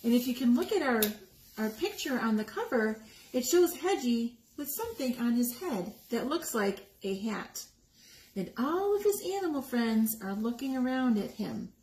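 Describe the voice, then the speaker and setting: A woman reading a picture book aloud to young children, expressively, pausing to explain the pictures and ask questions. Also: a little high, darkish tone. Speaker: story time reader. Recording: echoey room with background noise.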